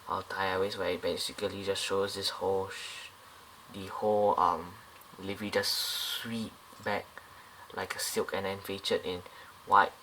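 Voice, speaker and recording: A man's voice: deep voice, sharp tone, a little hiss or hum, low-quality recording. A man casually reviewing a scale model airliner up close, talking in a loose, rambling way with many fillers and restarts.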